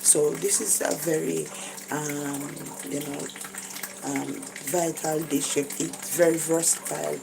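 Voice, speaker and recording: Slow, low-voiced Jamaican woman. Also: level tone, vocal fry. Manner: hesitant, many fillers and restarts. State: tired. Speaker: cooking vlogger. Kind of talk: casual cooking vlog, narrating as she cooks. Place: very small home kitchen. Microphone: phone held above her. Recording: phone audio with kitchen noise.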